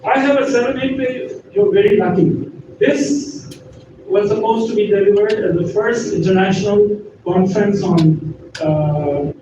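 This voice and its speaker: A man's voice: high voice, unhurried. An older man giving a chatty, joking talk to a university audience as guest speaker. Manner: chatty, jokes and laughs with the audience. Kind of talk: informal lecture to an audience. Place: university lecture hall. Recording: echoey room, distant mic, dull lo-fi sound with noise.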